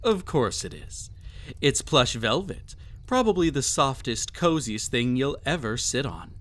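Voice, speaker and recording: Man acting slow, haughty, cruel emperor. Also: mid-range voice, very animated, fairly bright tone. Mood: smug indulgence. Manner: haughty, teasing, drawn-out theatrical phrasing. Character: cruel, possessive, vain emperor toying with a captive. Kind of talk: intimate dramatic audio roleplay.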